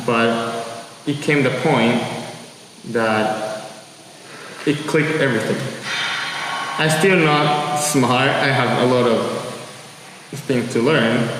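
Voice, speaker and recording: A young man in his twenties from Japan, an exchange student, giving a halting, earnest and pleading address to listeners. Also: mid-range voice. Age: 20s. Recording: echoey hall with long reverb and steady background noise.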